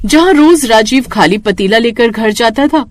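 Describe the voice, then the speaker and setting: Animated female storyteller with lively intonation. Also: a little high, normal speed, slight background noise. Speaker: narrator. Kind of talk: scripted storytelling narration for Hindi cartoon moral stories.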